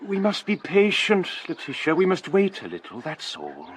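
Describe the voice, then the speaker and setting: Meek, high-voiced English clergyman. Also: huge pitch swings, moderate pace. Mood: resigned, placating. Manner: mild, hesitant. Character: meek, anxious, long-suffering poor clergyman. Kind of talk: period radio drama acting.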